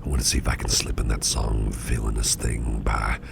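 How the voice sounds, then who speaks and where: A man playing an attentive, easygoing businessman boss in a soft, breathy, whispery ASMR roleplay, unhurried and soothing, murmuring close to the microphone. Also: flat delivery, noisy background, exaggerated voice. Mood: relaxed, musing. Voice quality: very low, gravelly, breathy near-whisper. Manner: unhurried, soothing, indulgent, musing aloud.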